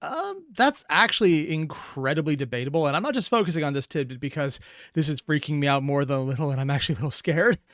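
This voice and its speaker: A man's voice: on the high side, lively intonation, fast, fairly bright tone, dry recording, studio quality. A man hosting a reaction video, talking casually in a rambling, analytical way.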